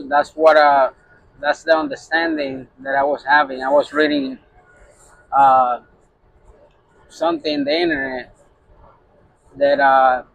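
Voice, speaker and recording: Slow-talking gravelly man from Puerto Rico. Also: a little high, a bit bright, a little hiss or hum, low-quality recording. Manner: rambling, many fillers and restarts. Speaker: truck driver. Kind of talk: casual vlog talk to camera.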